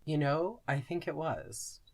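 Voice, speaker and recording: Medium-pitched, hesitant podcast co-host. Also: animated, slow, gravelly, darkish tone. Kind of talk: casual conversation.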